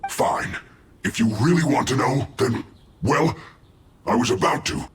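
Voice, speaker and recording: A man's voice: medium pitch, expressive, measured pace, slight background noise, natural voice. A man voicing a hapless, indignant enemy soldier in a video game, protesting in an exasperated, whiny way. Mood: defensive. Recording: echoey, music behind the voice.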